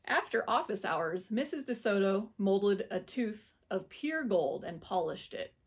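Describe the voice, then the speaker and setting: A woman's voice: mid-range voice, measured pace, breathy edge. Woman reading a storybook aloud. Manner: expressive, animated storytelling. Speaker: children's story reader. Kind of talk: reading a picture book aloud to children.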